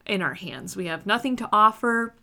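A woman in her thirties hosting a casual podcast in a room with her sisters, earnest and quick to laugh, pausing with fillers as she thinks aloud. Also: mid-range voice, expressive, creaky, sharp tone. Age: 30s. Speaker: podcast host. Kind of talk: casual faith podcast chat.

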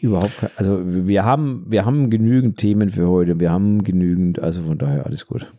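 A man's voice: low-pitched, fast talker, warm tone, dry recording. A man in casual, unscripted podcast talk, explaining things and repeating phrases for emphasis. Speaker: podcast co-host. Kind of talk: casual unscripted podcast chat about photography.

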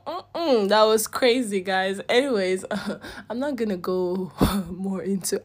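A woman with a Nigerian accent co-hosting a casual podcast, animated and laughing often as she tells stories. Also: fairly high pitch. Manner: animated, laughs often, calls listeners y'all and guys. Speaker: podcast co-host. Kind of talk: casual chat.

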